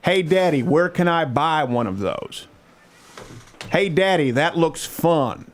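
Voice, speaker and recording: A man's voice: on the high side, expressive, takes it slowly, pitched above the performer's own voice. A man voicing a curious, innocent nine-year-old daughter with childlike questioning, in a comic prank call. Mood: innocent, puzzled.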